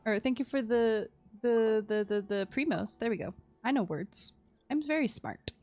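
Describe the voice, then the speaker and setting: A woman with a fairly high voice hosts a game stream in casual chat. She is expressive and teasing, quick to giggle. Music plays softly behind her. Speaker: livestream host.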